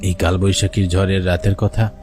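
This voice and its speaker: A man's voice: low voice, flat delivery, normal speed. A man narrating a first-person ghost story for a cartoon in a dramatic way. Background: music under the voice.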